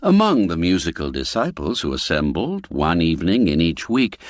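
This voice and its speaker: A man's voice: low voice, sing-song, moderate pace. A man narrating a classic radio drama, telling it expressively and rising and falling with the suspense.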